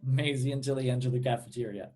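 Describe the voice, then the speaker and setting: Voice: mid-range voice, quick pace, a little mellow. A narrator reading out stage directions at a script table read.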